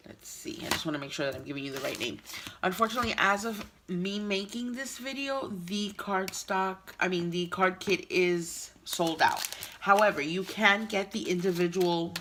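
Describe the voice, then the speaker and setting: A woman's voice: fairly low pitch, moderate pace, background noise. A woman hosting a card-making tutorial, chatty and explaining each step, sounding nasal from a cold. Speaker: craft channel host.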